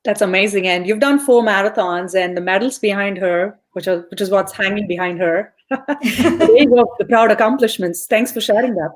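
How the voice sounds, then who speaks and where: A woman's voice: mid-range voice, normal speed. A young woman hosting a podcast over a video call, upbeat and chatty, with many "uh" fillers. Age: young adult. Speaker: podcast host. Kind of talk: casual podcast interview.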